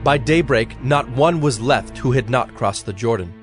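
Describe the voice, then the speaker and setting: Measured male scripture narrator over soft music. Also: medium pitch.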